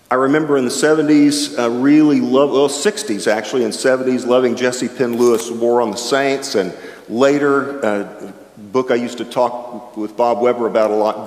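Older man, chapel speaker, reflective sermon, mid-pitched voice, long echoing hall. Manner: reflective, animated with wide gestures, shifting pace. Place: large chapel with an audience. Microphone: stand microphone at a lectern. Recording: echoey chapel heard from far off.

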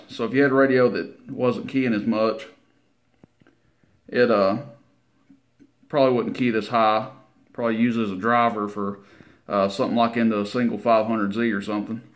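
A man giving a casual, unhurried hands-on demonstration in a folksy, informal way. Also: on the low side. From Tennessee, United States. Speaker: CB radio hobbyist presenting his equipment. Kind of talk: casual hands-on demo narration. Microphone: handheld camera mic, operator behind it.

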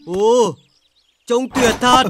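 A woman's voice: on the high side, extremely slow. A woman playing an eager, overconfident young animal friend in a children's cartoon, boastful and keen to help. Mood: curious, impressed. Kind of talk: children's cartoon dub acting. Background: cartoon background music.

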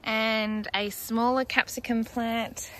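A woman's voice: fairly high pitch, level tone, slow pace, a bit bright, slight background noise. A woman in her thirties with an Australian accent, chatting casually with fillers and small laughs as she walks through her backyard vegetable garden, filming on a handheld phone. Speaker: gardening vlogger.

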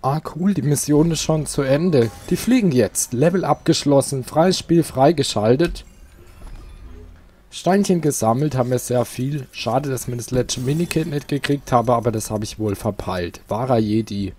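Laid-back man with a mellow voice. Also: medium pitch, normal speed. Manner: chatty, easygoing, mutters while playing. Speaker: let's player. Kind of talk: casual gameplay commentary.

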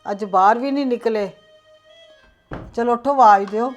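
A woman's voice: a little high, moderate pace, dull audio, faint music behind, close to an everyday voice. Woman playing a frantic searching aunt. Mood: worried, muttering. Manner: anxious, calling out, pleading. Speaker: aunt searching for a missing relative. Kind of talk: amateur drama acting.

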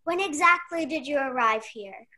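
A young woman playing a brisk, bossy investigator in a mystery radio play, formal and officious. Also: sits high, lively intonation, pushed and bright. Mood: stern. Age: young adult. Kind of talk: stage-style mystery radio play acting.

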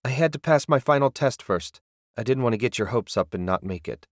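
A man's voice: mid-range voice, expressive, brisk, no room sound, studio quality, lightly acted. A man voicing an earnest, modest young male sorcerer with a plain, polite manner. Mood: easygoing.

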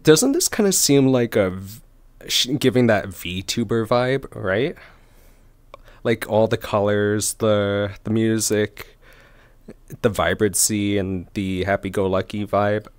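A man's voice: fairly low pitch, slow, clear, resonant tone, no echo. A man giving casual reaction commentary, musing aloud in a conversational way. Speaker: reaction video host. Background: faint music from the trailer.

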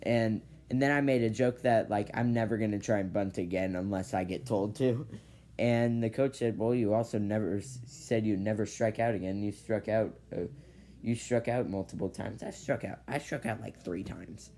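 Teenage boy, casual vlog storytelling, rambling restarts, low mellow voice, faint room hum, bedroom camera mic. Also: moderate pace. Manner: rambling, restarts, many "basically"s. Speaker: vlogger. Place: bedroom with ceiling fan, indoors. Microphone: device camera at low angle.

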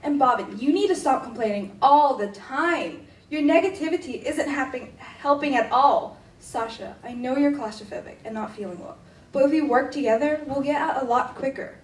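A woman's voice: high voice, moderate pace, natural voice. A teenage girl playing a sensible, firm young peacemaker, speaking earnestly in a school radio drama on an echoey stage. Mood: firm, exasperated. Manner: earnest, reasoning. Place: indoor theatre stage. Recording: echoey stage hall, distant microphone.

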